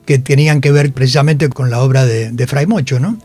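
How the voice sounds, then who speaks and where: A man with a Buenos Aires accent, an actor being interviewed, recalling his work in a halting, digressive way. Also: mid-range voice, fairly even intonation, darkish tone, faint music behind. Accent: Argentine, Buenos Aires. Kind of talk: casual interview chat. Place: radio studio.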